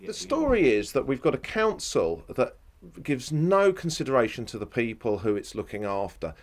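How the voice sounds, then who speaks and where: A man gives an interview soundbite as a critic. His voice sits at an average pitch for a man and he talks in his own words. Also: big pitch swings, normal speed.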